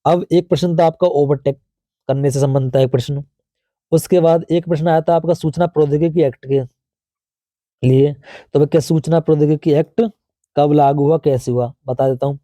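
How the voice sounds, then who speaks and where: North Indian male tutor with a very dark tone. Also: on the high side, steady tone, normal speed, dry recording. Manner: explanatory, conversational, asks questions and answers them himself. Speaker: exam coaching teacher. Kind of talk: informal exam review lesson.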